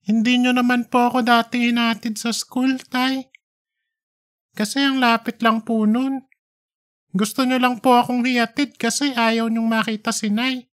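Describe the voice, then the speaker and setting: A woman's voice: fairly high pitch, flat delivery, a little slow, lightly acted. A woman voicing a polite, innocent young schoolboy in an audiobook. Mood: innocent, concerned. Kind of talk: audiobook character reading.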